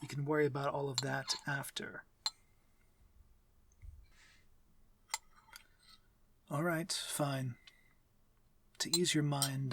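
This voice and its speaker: A man playing a courtly, aloof but civil vampire master in an ASMR fantasy roleplay, speaking formally and with measured authority. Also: mid-range voice, a bit bright. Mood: reassuring, formal. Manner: formal, measured, commanding diction. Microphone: close, dry microphone.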